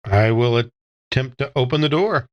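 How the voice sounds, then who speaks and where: Man, tabletop game player, casual chat, mid-pitched voice, dry recording. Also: animated, normal speed, dry recording, lo-fi sound.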